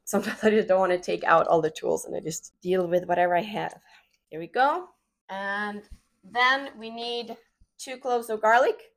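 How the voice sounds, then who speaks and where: A woman with a Swedish accent, a native Swedish speaker, giving a relaxed, chatty cooking demonstration in her home kitchen, with plenty of fillers. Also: mid-range voice. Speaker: cooking show host.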